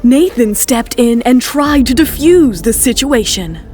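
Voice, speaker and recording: Female narrator for audio drama, high register, dramatic scripted read, light music bed. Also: animated, unhurried, darkish tone.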